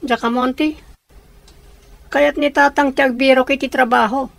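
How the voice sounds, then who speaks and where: A youngster sharing family troubles. The voice is high and recorded dry, in the style of a radio comedy drama. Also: female voice, steady tone, normal speed, gravelly, dry recording. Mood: confiding. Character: young daughter confiding family troubles to auntie.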